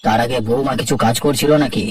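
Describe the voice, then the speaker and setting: A man's voice: mid-range voice, steady tone, fast, a crowd in the background, close to an everyday voice. A man voicing an easygoing older father-in-law in a children's cartoon, homely and conciliatory, recorded at a distance. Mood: easygoing, placating. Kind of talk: Bengali children's cartoon dialogue.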